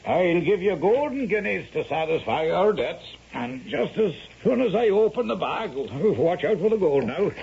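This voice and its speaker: A man's voice: sits high, very animated, normal speed, creaky, few pauses, slightly rough, heavily acted. An actor playing a blustering, stammering Irish squire, a gambler and father, in a 1970s radio drama. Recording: muffled, hissy vintage radio recording.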